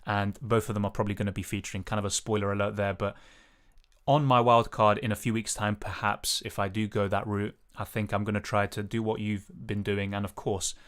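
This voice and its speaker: Raspy, lowish young man. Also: level tone, fairly quick, a bit bright. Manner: casual, hedging, many fillers. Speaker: fantasy football streamer. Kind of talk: live stream Q&A, reading chat.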